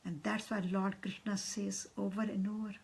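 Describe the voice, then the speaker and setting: A woman, leading a guided meditation in a soft, half-whispered voice. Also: medium pitch, little pitch movement, moderate pace, gravelly, breathy edge.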